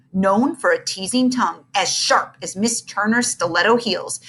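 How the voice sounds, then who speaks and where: A woman narrating a children's book aloud with expressive storytelling. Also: medium pitch, low-quality recording.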